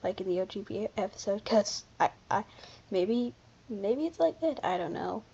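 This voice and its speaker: A commentator giving casual, unscripted video commentary in an informal, halting way, often backtracking. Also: mid-range voice, lively intonation, vocal fry, dark tone, husky, dull audio. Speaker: video creator presenting a ranking.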